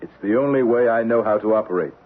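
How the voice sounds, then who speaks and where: An American actor playing a proud, combative middle-aged financier in an old-time radio drama, with clipped, argumentative delivery. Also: male voice, fairly low pitch, fast talker, close to an everyday voice. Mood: grim. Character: proud, embattled male Wall Street financier, combative and cynical. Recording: muffled, noisy old radio broadcast.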